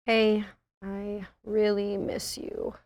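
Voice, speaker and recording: A woman plays a young woman voicing her text messages in a comedy script reading. She takes her words slowly, at a medium female pitch. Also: completely flat delivery, darkish tone. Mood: wistful. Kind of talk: comedy script table read.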